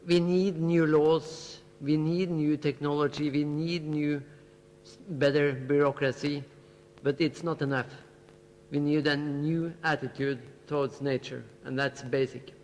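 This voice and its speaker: A man's voice: fairly high pitch, fairly even intonation, a little slow, darkish tone. Earnest man on a panel, echoing hall. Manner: earnest, emphatic, repeats key phrases. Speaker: panelist, biologist. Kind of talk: panel debate answer. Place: lecture hall at a student festival. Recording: hall reverb with faint hum.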